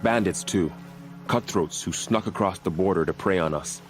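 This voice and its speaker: Guy acting as super deep voiced warrior on gate duty, grave and dutiful, game dialogue, bit of music behind. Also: lightly acted. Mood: somber, earnest. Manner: measured, earnest, grave.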